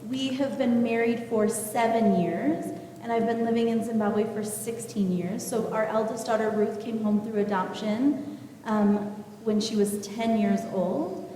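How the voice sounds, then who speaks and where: A woman's voice: a little high, steady tone, measured pace, mellow. American woman, very echoey and distant. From United States. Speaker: panelist, clinical social worker. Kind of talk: informal self-introduction. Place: indoor room at live panel. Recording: very echoey, distant mic, faint noise.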